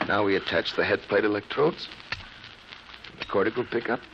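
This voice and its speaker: An actor playing a calm, commanding scientist in a 1950s radio drama, with a measured, authoritative and clinical manner. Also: male voice, lowish voice, natural voice. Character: calm, commanding male scientist running a mind-probe experiment. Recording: muffled, noisy old radio recording.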